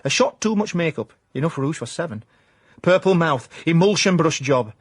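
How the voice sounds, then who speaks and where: A man with a South Yorkshire accent playing a self-important amateur private detective, deadpan and pompous, in a comic radio drama. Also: fairly high pitch, normal speed, breathy edge, no echo, studio quality. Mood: deadpan brooding. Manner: deadpan, pompous hard-boiled narration. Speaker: lead actor and narrator.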